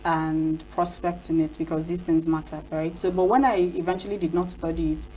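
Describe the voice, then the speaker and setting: A woman's voice: low-pitched, steady tone, a little fast, dark tone, a little hiss or hum, low-quality recording. A Nigerian woman whose first language is Igbo, talking reflectively in a studio interview and often saying "you know". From southeast Nigeria. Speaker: interview guest, cultural storyteller. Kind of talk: casual interview talk.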